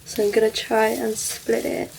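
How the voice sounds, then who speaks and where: A young woman from the UK chatting casually to camera as a vlogger, her voice a little croaky from being ill, self-deprecating and thinking aloud as she works. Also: fairly high pitch, level tone, normal speed, darkish tone, recorded from a distance, slight background noise, rough recording. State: slightly croaky from illness. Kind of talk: casual vlog talk to camera. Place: home, in front of a mirror.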